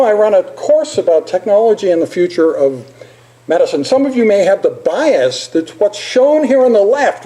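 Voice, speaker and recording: An older man giving an informal presentation as a lecturer, halting at times with repeated words and pauses. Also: sits high, dark tone, poor audio. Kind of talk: informal slide presentation with poetry recitation.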